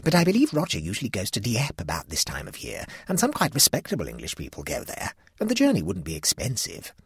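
A cautious young woman who thinks about what is respectable, read by a man in close to his own low voice. Her tone is husky and measured, and the sound is dry. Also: huge pitch swings, no room sound, heavily acted. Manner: careful and respectable.

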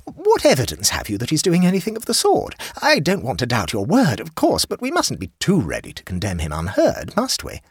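A man's voice: fairly high pitch, wildly swooping pitch, a little fast, hardly any pauses, husky, dry recording, studio quality, voice pushed up, full character voice. A man reading an audiobook, voicing a fussy, old-fashioned society hostess who is flustered and eager to please. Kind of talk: audiobook character voice.

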